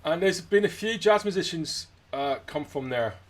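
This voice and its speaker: A man's voice: a little high, lively intonation, normal speed. A man from Scotland interviewing casually, with a dry, wry sense of humour. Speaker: interviewer, jazz musician.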